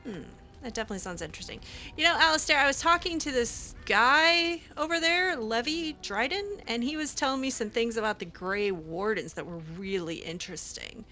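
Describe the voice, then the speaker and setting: A woman roleplays her way through a fantasy game in easy, chatty talk, hesitant and full of fillers with a wry edge. Her voice is bright and swings widely in pitch. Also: animated, fairly quick. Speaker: roleplay streamer. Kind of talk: casual in-character roleplay chat.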